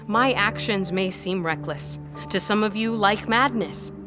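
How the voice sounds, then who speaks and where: A woman playing a defiant, earnest scholar in an audio drama, speaking in an articulate, deliberate way over music. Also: mid-range voice, lightly acted. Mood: impassioned, urgent. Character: defiant, earnest female scholar-historian on trial. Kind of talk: scripted audio drama acting. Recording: music behind the voice.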